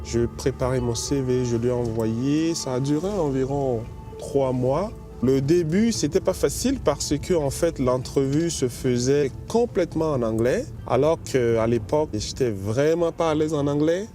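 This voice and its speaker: A man from Benin speaks in a steady, earnest, mid-range voice about settling into a new country. A clear music bed plays under his words. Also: mid-range voice, a little slow. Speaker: bank account manager. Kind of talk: testimonial interview.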